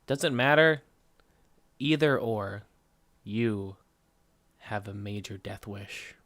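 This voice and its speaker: A man's voice: lowish voice, takes it slowly, heavy background noise. A man playing a surly, sarcastic, foul-mouthed grudging informant in an audio drama. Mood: mocking. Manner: sarcastic, irritable, cursing.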